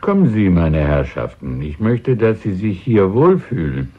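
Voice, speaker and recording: A man's voice: low voice, very animated, measured pace, loud music behind the voice. A man playing an obliging, discreet shopkeeper in a German radio play, polite and apologetic. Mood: courteous, obliging. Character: obliging, discreet, courteous male shopkeeper letting a room. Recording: thin phone-like old radio recording, dry.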